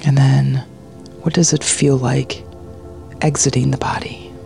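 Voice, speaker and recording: Slow, creaky-voiced meditation guide over soft music. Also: medium pitch, flat delivery. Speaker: meditation guide, podcast host.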